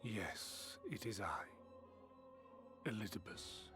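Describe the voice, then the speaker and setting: Game villain, immortal schemer: unhurried, formal, cold contempt, clear music underneath. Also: male voice, lowish voice. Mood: cold, revealing. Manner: measured, archaic, formal, disdainful. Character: ancient male Ascian emissary, cold, condescending schemer. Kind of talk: dramatic fantasy video game acting. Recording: music behind the voice.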